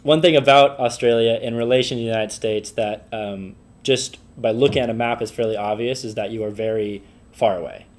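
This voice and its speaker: A man's voice: mid-range voice, fairly even intonation, brisk. A young man from the San Francisco Bay Area hosting a podcast, chatty and eager, with lots of fillers. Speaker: podcast host. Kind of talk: casual interview chat.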